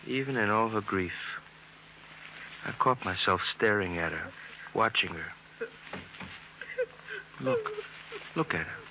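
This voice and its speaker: Low, solemn radio-drama doctor. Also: male voice, animated, takes it slowly, long pauses. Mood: grave, sympathetic. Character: grave, kindly male police doctor, awestruck and sympathetic. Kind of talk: 1950s radio drama acting. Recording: muffled, slightly noisy old radio recording.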